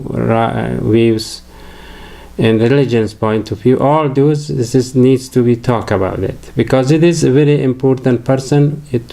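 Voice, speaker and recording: Slow, gravelly older Afghan man lecturing. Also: medium pitch, darkish tone. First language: Farsi. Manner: hesitant, many fillers and restarts. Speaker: religious lecturer. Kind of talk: halting lecture to camera.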